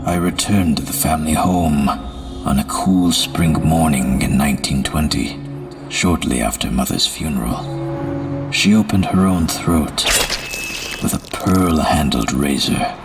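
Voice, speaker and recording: An actor playing a somber, haunted 1920s psychiatrist, narrating his journal in a measured, solemn way for an audio drama. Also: rumbling low, over music. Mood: mournful.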